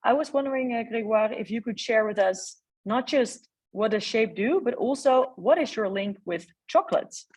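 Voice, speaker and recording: A woman's voice: fairly high pitch, animated, moderate pace, hardly any pauses, darkish tone, slightly rough, recorded from a distance. A woman moderating a webinar panel, asking questions with frequent fillers. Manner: hesitant, uses fillers.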